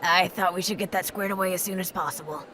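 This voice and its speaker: A woman's voice: fairly low pitch, steady tone, fast talker, pushed and bright. A woman playing a tough, blunt, protective wasteland survivor in an audio drama, with a wry, plain-spoken manner. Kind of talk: post-apocalyptic audio drama acting.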